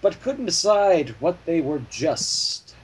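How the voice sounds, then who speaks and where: High-pitched, animated man reading aloud. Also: takes it slowly, slightly rough, rough recording. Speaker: fan fiction reader. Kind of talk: storybook narration with casual asides.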